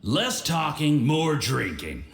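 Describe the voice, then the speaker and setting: A man's voice: medium pitch, gravelly, echoey room, heavily acted. A man playing a sly, domineering schemer in a video game, teasing and cajoling with a hint of menace. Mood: goading, amused. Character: sly, domineering male schemer goading a drinking companion.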